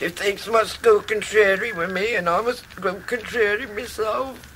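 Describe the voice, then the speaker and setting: A forlorn older English widow with a sharp, piercing voice. She drags her words out slowly and querulously, full of self-pity, as if in a radio play. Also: on the high side, a little hiss or hum, poor audio. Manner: halting, repeating words plaintively. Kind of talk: radio drama acting.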